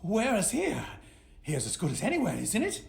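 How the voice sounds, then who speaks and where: A man's voice: high-pitched, wildly swooping pitch, normal speed, creaky, exaggerated voice. Unhinged, wildly swinging madman adventurer. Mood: rambling, manic. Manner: erratic, rambling, talking to himself. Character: unhinged, amnesiac male adventurer losing his mind to madness. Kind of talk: theatrical video game voice acting. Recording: echoey, faint music behind.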